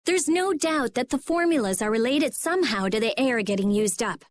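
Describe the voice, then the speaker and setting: Woman as high-voiced, brainy, curt girl. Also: expressive, normal speed, no echo, lightly acted. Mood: analytical. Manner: insistent, analytical, curt. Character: sharp-tongued, brainy, urgent young girl scholar. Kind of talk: video game cutscene acting.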